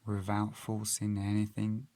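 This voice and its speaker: A man with a low, very even voice leads a guided meditation in a calm, gentle manner. He speaks very slowly, leaving long pauses between measured phrases. The sound is close and dry. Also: dry recording.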